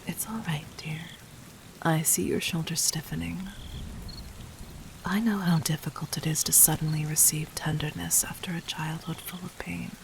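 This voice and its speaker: A woman playing a gentle but commanding, seductive demon queen in an intimate audio roleplay, speaking softly and formally in a breathy near-whisper. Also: sits low, steady tone, normal speed, background noise. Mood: tender, reassuring.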